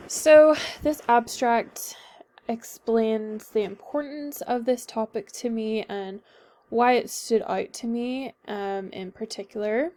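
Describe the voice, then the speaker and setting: A woman's voice: on the high side, a little mellow. Hesitant young Northern Irish woman presenting. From Northern Ireland. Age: young adult. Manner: hesitant, frequent ums. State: a little sick. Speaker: student presenter. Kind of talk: class presentation.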